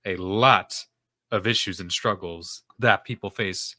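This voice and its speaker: A young man talks into a podcast microphone in a low, deep register, his tone bright and forceful. His nose is stuffed up, and he rambles earnestly with plenty of fillers as a self-esteem coach hosting his own show. The sound is dry and close, with no room echo. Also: measured pace. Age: young adult. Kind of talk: casual solo podcast monologue.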